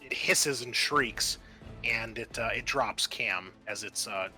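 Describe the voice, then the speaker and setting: A man narrating a tabletop roleplaying game as game master, speaking haltingly with pauses mid-sentence. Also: mid-range voice, pushed and bright. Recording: music behind the voice. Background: background music.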